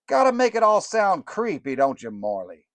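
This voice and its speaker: A man doing a loud wisecracking Northeastern inmate. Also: high-pitched, wildly swooping pitch, moderate pace, no room sound, pitched above the performer's own voice, full character voice. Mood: griping. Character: fast-talking bald male inmate, griping.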